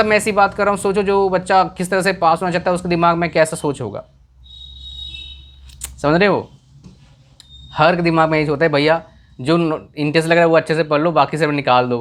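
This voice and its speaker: A man's voice: a little high, fairly bright tone. A young man whose first language is a village tongue, giving earnest career advice in a casual talk, often checking if the listener follows. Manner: earnest, repeats questions to the viewer.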